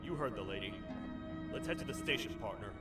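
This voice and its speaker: Game toad sheriff , male actor: low, gruff, folksy lawman and loyal protector, slightly off-mic under music. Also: expressive, a little fast, lightly acted. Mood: grave, steady. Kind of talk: video game character acting. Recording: distant, loud music behind.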